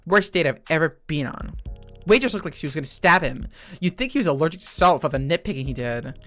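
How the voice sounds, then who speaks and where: A jaded and sardonic detective-drama character played by an actor, with a medium-pitched voice. The delivery is blunt, conversational and sarcastic, with music playing underneath. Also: expressive, quick pace, a bit bright, natural voice. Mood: sardonic, irritated.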